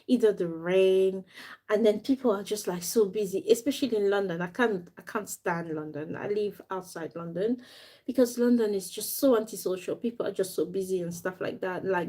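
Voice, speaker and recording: A young woman from Africa talks on a live stream in a loose, rambling way. She breaks off now and then to thank viewers. Her voice sits at an ordinary middle pitch for a woman. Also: darkish tone. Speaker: doll maker and fashion designer.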